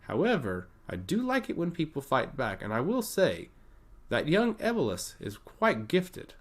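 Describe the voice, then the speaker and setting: A man's voice: on the high side, wildly swooping pitch, unhurried, pitched above the performer's own voice, exaggerated voice. A man voicing an amused, teasing god of intellect in a theatrical way for a fantasy story. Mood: smug, teasing. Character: smiling, playfully coy male god of intellect.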